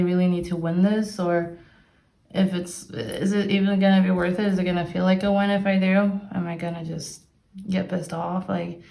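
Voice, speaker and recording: Casual female tarot reader from Brazil, mid-pitched, chatty, recorded in an echoey room. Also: gravelly, a little mellow. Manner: chatty, upbeat, many "like" fillers. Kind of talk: casual tarot reading to camera. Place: sunny room with plants. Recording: echoey room, faint noise.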